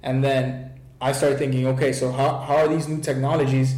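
A man's voice: medium pitch, normal speed, reverberant, rough recording. A man hosting a casual conversation, talking with energy and often checking "right?". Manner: energetic, keeps saying "right?". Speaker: host.

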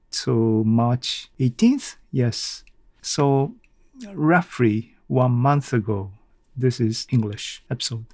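A man talks casually as a solo podcast host. His delivery is slow and halting, full of small self-corrections. The sound is close and dry. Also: medium pitch, darkish tone, no room sound.

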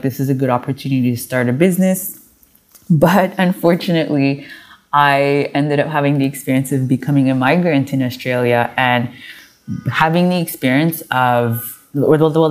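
A woman's voice: rumbling low, darkish tone. A woman from Montreal in her thirties, speaking as a podcast guest in a loose, rambling way with many fillers and restarts. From Montreal, Canada. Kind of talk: casual interview talk.

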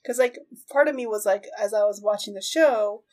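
A woman's voice: mid-range voice, a little fast, a little mellow. A woman hosting a podcast, chatting casually in a tentative way with giggles slipping in. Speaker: podcast host. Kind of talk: casual interview chat.

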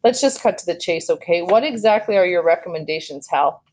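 A gruff storybook father, put on by a woman narrator. He is weary and businesslike, wanting to get straight to the point. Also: on the low side, animated, a little fast, darkish tone, close to an everyday voice, rough recording. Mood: impatient. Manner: gruff, cut-to-the-chase.

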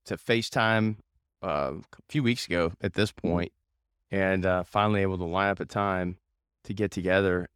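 An upbeat man with a fairly low, clean voice hosts a casual interview podcast. He sounds informal and enthusiastic, and the recording is close and dry. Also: a bit bright, smooth, no room sound. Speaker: podcast host.

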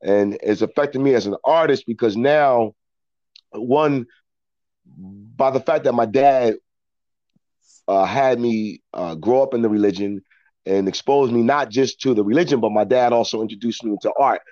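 Middle-aged man, American accent, medium pitch, reflective interview storytelling, halting repetitive phrasing, slightly dull dry audio. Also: a little mellow, no echo. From Philadelphia, United States. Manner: halting, repeats words as he thinks. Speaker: interview guest, actor and storyteller. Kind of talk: reflective personal storytelling in conversation.

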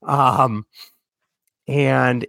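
A man's voice: mid-range voice, steady tone, drawn-out words, creaky, a bit bright, no echo. A man in his thirties co-hosting a podcast, chatting casually and reminiscing, now and then talking to viewers in the live chat. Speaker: podcast co-host.

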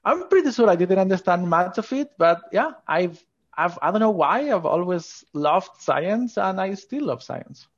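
A man's voice: sits high, animated, moderate pace, dull audio. A man from northern Spain with a Spanish accent, talking enthusiastically and informally as a guest in a conversational interview. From Asturias, northern Spain. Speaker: podcast guest, scientist.

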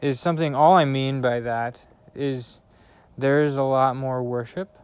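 Youth pastor, male, slow and thoughtful, smooth clear voice, hesitant. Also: mid-range voice, fairly even intonation, no echo. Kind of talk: casual conversation. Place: outdoors in a backyard by a bonfire.

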